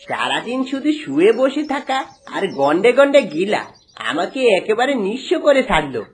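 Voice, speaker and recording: A woman's voice: mid-range voice, big pitch swings, normal speed, slight background noise, close to an everyday voice. A woman voicing a wheedling, two-faced, cruel village husband in a cartoon, with oily, exaggerated delivery. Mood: scornful.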